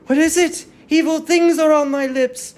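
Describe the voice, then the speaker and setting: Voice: high voice, steady tone, a little mellow, voice pushed up, close to an everyday voice. A performer playing a grieving captive young noblewoman and mother in a declamatory dramatic reading of Greek tragedy. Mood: anxious, pleading. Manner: slow, declamatory, mournful.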